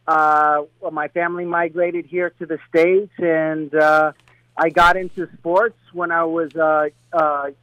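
A man's voice: a little high, little pitch movement, unhurried, pushed and bright, dry recording, lo-fi sound. A middle-aged man from Colombia, a boxing trainer, talks casually as a guest. He rambles, with many restarts and fillers. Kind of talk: casual interview talk.

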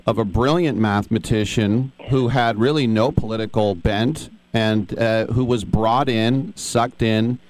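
Male co-host, slightly low voice, conversational and explanatory. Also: a little slow, hardly any pauses, a little mellow, no room sound, rough recording. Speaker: radio co-host.

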